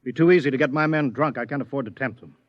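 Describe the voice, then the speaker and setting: A man's voice: medium pitch, fast, dry recording, highs cut off, natural voice. An actor playing a stern male scientist who runs an observatory in a 1950s radio drama, curt and authoritative.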